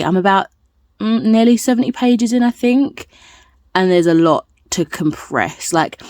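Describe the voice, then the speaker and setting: Low-pitched woman, chatty book vlogger. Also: darkish tone, no room sound, clean studio sound. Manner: playful, rambling, self-deprecating, with silly made-up expressions. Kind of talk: casual chatty vlog to viewers.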